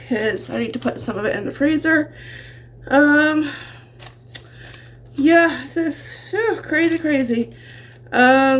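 A woman's voice: a little high, a bit bright. A middle-aged woman chatting casually and in a rambling way to camera as a vlogger. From Canada. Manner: rambling, chuckles often. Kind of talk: casual chatty grocery haul vlog. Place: small home kitchen, indoors. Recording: noisy home kitchen camera audio.

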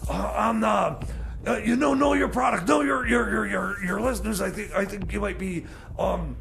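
A man playing a flustered, bumbling radio DJ in comedy radio theater, with a croaky voice and halting, stammering delivery. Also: sits high, a crowd in the background. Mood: hesitant. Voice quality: creaky, croaky. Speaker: radio show host.

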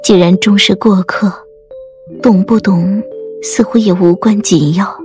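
A woman's voice: medium pitch, steady tone, a little slow, darkish tone, faint music behind. A woman giving a scripted, dramatic reading of an audiobook. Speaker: audiobook performer.

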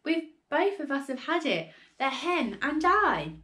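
A woman voicing a bossy, boastful old grandmother in a children's storybook, calling out loudly in a crowing singsong. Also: fairly high pitch, expressive, normal speed, lightly acted. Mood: gleeful, cackling.

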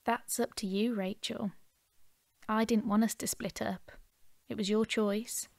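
An actress playing a sensible, caring woman in a naturalistic audio drama, measured, reassuring and matter-of-fact. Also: mid-range voice, steady tone, creaky, darkish tone, no echo, clean studio sound. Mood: calm, caring. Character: Sam, calm, sensible, caring woman, quietly competent.

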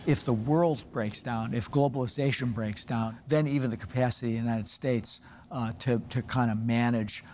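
A man of average pitch gives analytical commentary as an interviewee, picking his words with hesitation. A faint background noise sits behind him. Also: fairly even intonation, normal speed, darkish tone. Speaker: expert interviewee.

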